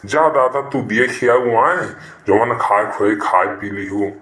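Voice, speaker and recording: A man's voice: mid-range voice, slow, fairly bright tone, roomy sound. A man playing a henpecked middle-aged village husband in a comic Awadhi cartoon, sweet-talking and pleading in a repetitive, wheedling way. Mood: coaxing, apologetic. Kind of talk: comic cartoon dialogue in rustic dialect.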